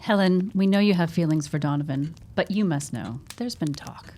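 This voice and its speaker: A woman's voice: low-pitched, normal speed, dark tone. An actress playing a gossipy, suspicious neighbour in a period audio drama, confiding and matter-of-fact as she talks. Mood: insistent, knowing.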